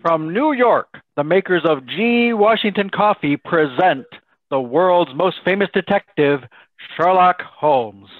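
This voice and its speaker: A man's voice: high-pitched, soft background music. A man playing a courteous, formal radio announcer in a 1930s-style radio drama, over a video call. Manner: formal, measured announcer delivery. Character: courteous male radio announcer, Mr. Bell, visiting Watson. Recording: thin phone-like video call sound.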